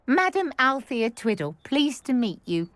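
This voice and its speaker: Lively, genteel elderly widow. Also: female voice, high-pitched, a little slow, dry recording, full character voice. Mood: chatty, intrigued. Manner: genteel, wordy, storytelling. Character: chatty elderly widow in a wizarding hamlet. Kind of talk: video game character acting.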